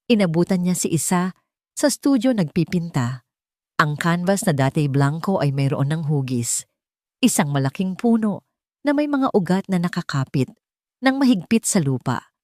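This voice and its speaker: A woman's voice: on the low side, animated, normal speed, mellow, dry recording, clean studio sound. A woman with a Filipino accent reading a scripted dramatic story as an audiobook narrator.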